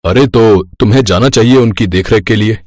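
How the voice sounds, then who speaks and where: Guy doing cartoon husband, young, casual n evasive, lowish voice, very dry recording. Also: brisk, darkish tone, no echo, lightly acted. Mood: reasonable. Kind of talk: animated moral-story cartoon voice acting.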